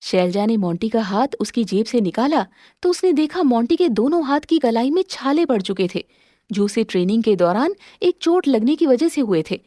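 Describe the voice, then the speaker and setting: High-pitched woman narrating a story. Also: animated, moderate pace, dark tone, no room sound, clean studio sound. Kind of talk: scripted narration of a cartoon moral story.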